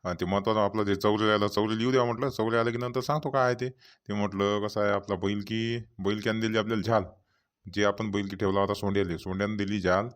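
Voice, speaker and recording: Fast-talking rambling village farmer played by a man. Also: fairly low pitch, fairly even intonation, natural voice. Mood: businesslike, chatty. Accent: rural Vidarbha Marathi. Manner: colloquial, rambling, repetitive. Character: talkative male village farmer chairing a local meeting. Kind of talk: Marathi cartoon comedy dialogue.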